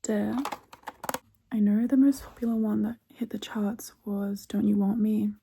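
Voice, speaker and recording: Casting: woman for ASMR shop roleplay, breathy whisper, very dark and soft tone, heavy vocal fry, unhurried. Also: medium pitch, level tone, slight background noise. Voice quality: breathy whisper, mostly unvoiced. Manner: unhurried, soft-spoken, intimate. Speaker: ASMR roleplay host as cassette shop keeper. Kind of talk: whispered ASMR, casual music chat.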